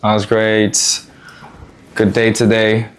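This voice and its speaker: A man's voice: on the low side, monotone, drawn-out words, clear, resonant tone. A man hosting a podcast while cutting a guest's hair, chatty and asking questions, restarting his words often. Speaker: podcast host and barber. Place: barbershop.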